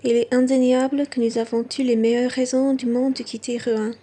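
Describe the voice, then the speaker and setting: Woman as husky, even-toned bourgeois gentleman. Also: sits high, normal speed. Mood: self-assured. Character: smug, respectable, well-mannered male bourgeois traveller. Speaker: student actor. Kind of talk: radio play acting in French.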